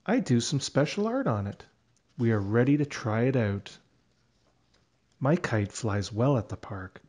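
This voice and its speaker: A man narrating a children's storybook aloud in an expressive, deliberate way. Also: medium pitch.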